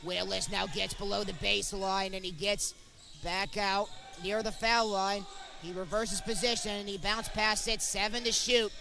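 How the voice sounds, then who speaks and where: A man's voice: high-pitched, little pitch movement, normal speed, vocal fry, sharp tone, soft background music. A young man calling live college basketball play-by-play in short, clipped present-tense phrases. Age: young adult. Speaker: play-by-play sportscaster.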